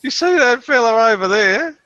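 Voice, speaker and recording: Man putting on a gruff Australian sea captain. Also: very high-pitched, expressive, normal speed, pushed and bright, no echo, dull audio, voice pushed up, full character voice. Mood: gruff, hearty.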